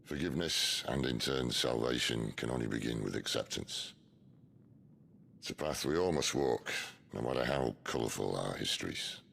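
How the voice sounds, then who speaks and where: A man voicing a worldly, wry middle-aged rebel leader in a video game, gravelly and philosophical, with roguish warmth. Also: a bass voice, normal speed, a little hiss or hum, heavily acted. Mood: wry, reflective. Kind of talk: dramatic video game acting. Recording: music under the voice.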